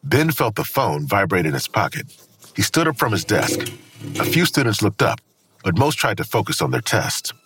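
A man narrating a scripted crime thriller audio drama in a grave, husky voice. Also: fairly low pitch, big pitch swings, moderate pace, background noise. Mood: measured, grave.

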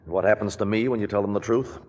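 An actor playing a scheming, insinuating small-town lawyer who pressures people, in a 1940s radio drama. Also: male voice, on the low side, fairly even intonation, quick pace, a little mellow, slight background noise, natural voice. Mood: menacing. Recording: 1940s radio recording, reverberant studio.

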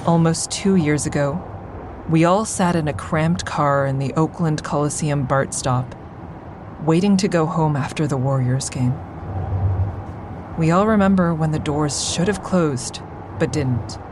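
An actress playing a traumatized woman survivor in an audio drama, recounting her ordeal in a measured, deliberate way. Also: low voice, noisy background. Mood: somber, haunted. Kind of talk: full-cast audio drama monologue.

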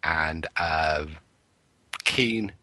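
A man's voice: rumbling low, very slow, pushed and bright, no room sound. A man from Wales co-hosting a radio show, teasing with dry wit. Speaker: co-host. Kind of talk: jokey radio show chat.

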